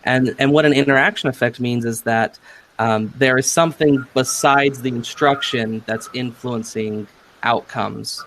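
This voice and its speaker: A man's voice: medium pitch, fairly even intonation, measured pace, a bit bright, slight background noise. A man hosting an interview podcast, talking through research in a conversational way. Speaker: podcast host.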